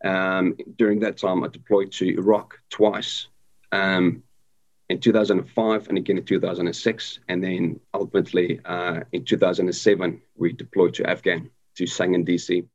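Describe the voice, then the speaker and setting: A man's voice: low-pitched, flat delivery, normal speed. A man from Africa, a guest telling his story in a casual interview, hesitant with many ums and restarts. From South Africa. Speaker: guest, army veteran. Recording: dull lo-fi sound.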